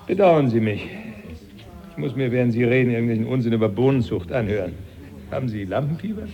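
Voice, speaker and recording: A man's voice: mid-range voice, expressive, fast talker, muffled recording, natural voice. An actor playing a genial older male professor, dryly amused and familiar, in a German radio play. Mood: wry, confiding. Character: genial old professor, the hero's former teacher, dryly amused.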